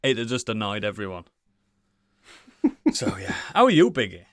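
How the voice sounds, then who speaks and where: A man's voice: lowish voice, huge pitch swings, normal speed, dry recording, clean studio sound. A middle-aged man hosting a podcast, bantering with jokey, sweary introductions. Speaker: podcast host. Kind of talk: casual banter.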